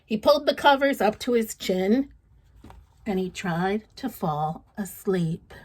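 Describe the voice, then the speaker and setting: A woman reading a story aloud for children in an expressive, playful, dramatic way, putting questions to her listeners. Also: mid-range voice, slow. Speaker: storybook reader.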